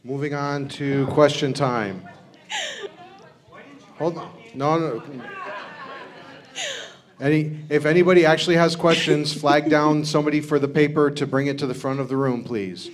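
Halting man moderating a Q&A. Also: a little high, steady tone. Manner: halting, shuffling papers. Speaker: panel moderator.

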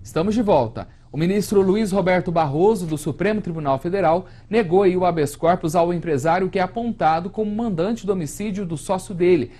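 Young male news anchor, steady and formal. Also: high voice. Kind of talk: scripted news presenting. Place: studio.

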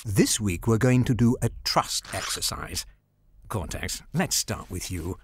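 A man's voice: fairly low pitch, expressive, slight background noise. An actor playing a patient, soothing, patronising male therapist in a video game cutscene. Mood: calm, instructive. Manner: measured, patronising counsellor talk.

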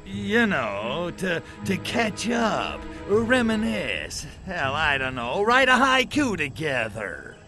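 Brash alien invader for a game cutscene: American, sardonic, highish swinging pitch, drawn-out delivery. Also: male voice, huge pitch swings, music in the background, exaggerated voice. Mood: teasing, smug. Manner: sardonic, slangy American wise guy. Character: brash, wisecracking, cynical male alien invader. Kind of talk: video game cutscene acting.

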